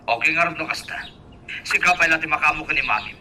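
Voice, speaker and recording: Male actor as defensive fellow, high sharp voice, off-mic with faint noise, radio drama. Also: fast. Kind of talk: Ilocano radio drama acting. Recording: distant mic with faint noisy ambience.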